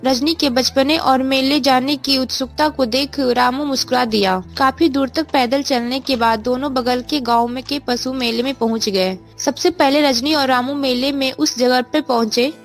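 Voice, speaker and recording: A woman's voice: high-pitched, steady tone, normal speed, hardly any pauses, soft background music. A woman narrator reading a scripted bedtime story for children.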